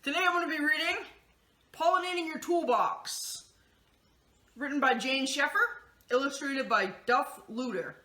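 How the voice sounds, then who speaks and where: A woman's voice: sits high, sharp tone, reverberant. A woman hosting a children's story time, addressing young listeners and reading a picture book aloud. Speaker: children's story host and reader.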